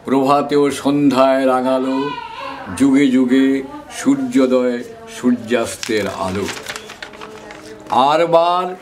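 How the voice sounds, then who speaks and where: A man gives a dramatic poetry recitation in a medium-pitched voice. His delivery is very slow and measured, declaimed with deliberate pauses. There is faint noise behind him. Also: a little mellow.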